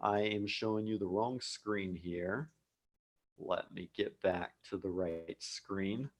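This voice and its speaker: American guy teaching english live online, really slow and patient, repeats stuff, computer mic. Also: deep voice. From United States. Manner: slow, patient, repeats key words for learners. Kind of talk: live-streamed English lesson. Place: home office abroad.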